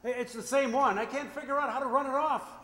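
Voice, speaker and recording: A man's voice: very high-pitched, steady tone, fast talker, bright, forceful tone, slight background noise. A man playing a naive, obedient and earnest young son in an old-time radio comedy. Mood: meek. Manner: earnest, guileless. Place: amateur stage reading in a hall. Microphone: stand microphone. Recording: echoey stage in a large hall.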